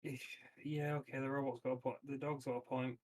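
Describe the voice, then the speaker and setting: A man's voice: medium pitch, flat delivery, fairly quick, a little mellow. A man casually discussing plans as a player in a tabletop roleplaying game.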